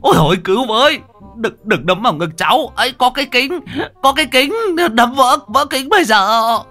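A man voicing a panicky, bumbling young man who stammers and wails in a high whimper. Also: very animated, unhurried, bright, forceful tone, soft background music, exaggerated voice. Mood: wailing.

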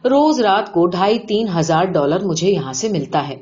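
A woman's voice: lowish voice, expressive, darkish tone, natural voice. A woman reading the part of a rich, boastful male gambling-den boss in a story, showy, blunt and colloquial. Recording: dull lo-fi audio.

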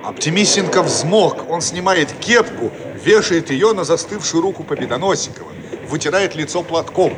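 High-toned male narrator, lively intonation. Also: moderate pace, few pauses. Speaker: narrator reading stage directions. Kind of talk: scripted radio play narration. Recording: noisy archive radio recording.

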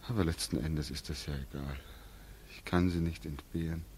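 An actor playing a weary, self-conscious returning soldier with a lame leg in a 1940s German radio play. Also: male voice, very deep voice, steady tone, normal speed, a little mellow, a little hiss or hum. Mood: dejected. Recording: vintage 1940s radio recording with music.

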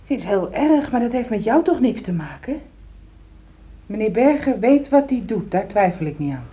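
A woman's voice: on the high side, very animated, moderate pace. An actress in a Dutch radio play, playing a pragmatic, ambitious housewife who insistently reassures and backs her husband. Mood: reassuring, insistent. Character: loyal, ambitious middle-aged housewife, pragmatic, supportive of husband. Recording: dull old radio recording.

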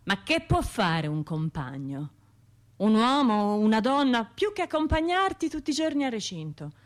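An actress playing a wounded, bitter bombing survivor in a radio theatre monologue, confiding in colloquial speech. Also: female voice, on the high side, very animated, moderate pace. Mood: wry, bitter. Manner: colloquial, confiding, wry, shifting between narration and imagined voices.

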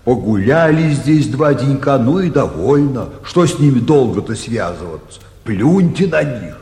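Blunt, unhurried manservant; slow delivery, theatre comedy, reverberant vintage recording. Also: male voice, mid-range voice, very animated, a little mellow. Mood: wary, urging. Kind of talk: theatrical 1940s stage comedy acting. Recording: echoey 1949 theatre radio production.

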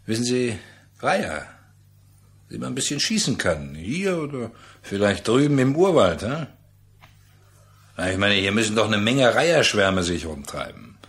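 An actor in a German radio play playing a pushy, jovial, slippery trader who wheedles in a chatty, condescending way. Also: male voice, lowish voice.

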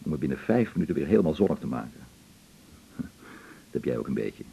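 A wry, easygoing friend in a radio play, with a low, creaky voice. He chats drily with lively swings of intonation on a slightly muffled eighties recording. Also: fairly quick, heavily acted. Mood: wry, relaxed. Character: wry, easygoing adult friend with stormy love life. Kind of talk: naturalistic 1980s Dutch radio drama acting.

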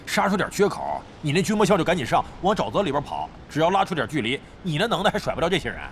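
Brash male gang-leader gamer, blustery and sweary, high-pitched and fast, music behind. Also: very animated, a little hiss or hum. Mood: gruff planning. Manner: blustery, grumbling, swears freely. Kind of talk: Chinese audio drama acting.

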